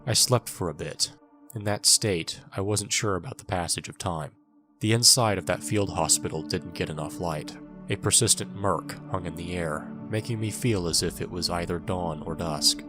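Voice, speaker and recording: Audio drama casting: male actor as wounded young soldier narrating, very low voice, somber and wry, over music. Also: normal speed, natural voice. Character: bitter wounded young male soldier recounting his war.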